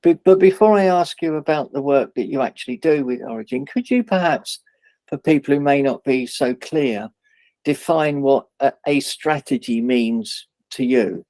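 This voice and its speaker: Measured male interviewer, dry sound. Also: medium pitch, a little mellow, no room sound. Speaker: interviewer, outreach lead. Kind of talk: interview hosting.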